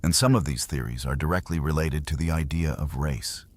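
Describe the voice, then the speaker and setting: A man narrating history in an unhurried, conversational way, as if explaining to a listener. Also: extremely low pitch. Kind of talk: documentary history storytelling.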